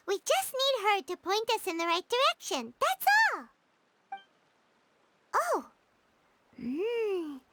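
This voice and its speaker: A tiny, excitable fairy girl from a video game, squeaky and childlike. Her pitch sits extremely high and swings around in a very lively way. She chatters in exclamations with an easily indignant temper, over clearly audible noise. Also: exaggerated voice. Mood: curious, unsure, then delighted. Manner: exclamatory, refers to herself in third person. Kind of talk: animated video game voice acting.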